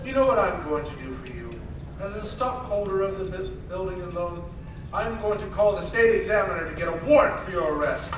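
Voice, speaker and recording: Bitter old male banker villain, acted by a man, high forceful voice, radio-play menace, echoey distant hall. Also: animated, a little fast, background noise. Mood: gloating, threatening. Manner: scornful, taunting. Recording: echoey hall, distant mic, faint music.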